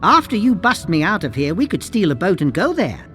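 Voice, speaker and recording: A timid little cartographer from a pirate video game. His voice is very high for a man and swings about in pitch. He is fussy and easily indignant, with faint music underneath. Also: huge pitch swings, brisk, pushed and bright, exaggerated voice.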